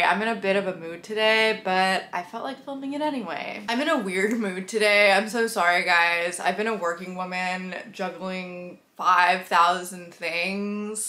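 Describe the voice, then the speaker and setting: A young woman in her twenties vlogging casually to camera from her bedroom, rambling and apologetic, slightly nasal from a cold. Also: on the low side. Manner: apologetic and rambling, animated hand gestures. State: sick, a bit nasal. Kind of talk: casual vlog, talking to camera. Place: bedroom with couch, indoors. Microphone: camera mic at arm's length.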